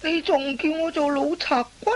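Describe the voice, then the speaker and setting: A woman's voice: high-pitched, fairly even intonation, moderate pace, lightly acted. A woman playing a sly, boastful old veteran thief in a radio comedy, with a theatrical, sighing drawl. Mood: flustered, wheedling. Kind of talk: radio comedy drama acting.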